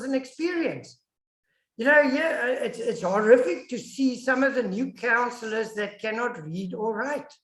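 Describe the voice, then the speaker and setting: High-voiced South African woman, echoey room. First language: Afrikaans. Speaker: guest lecturer and academic dean. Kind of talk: webinar lecture with audience questions. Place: indoors, online video call. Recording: echoey room on online call.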